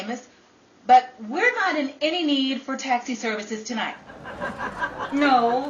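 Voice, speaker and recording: Woman playing brassy high-voiced 1950s Hollywood actress, roomy. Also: a bit bright. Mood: brisk. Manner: theatrical, sassy, quipping. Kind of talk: old-time radio comedy acting. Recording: roomy, distant, dull sound with faint noise.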